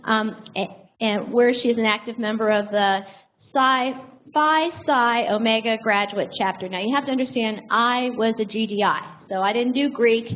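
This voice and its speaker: High-voiced American woman on tinny audio. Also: moderate pace. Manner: jokey, self-deprecating. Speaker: host introducing a guest speaker. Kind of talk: informal spoken introduction to a live audience. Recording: thin phone-like sound with faint noise.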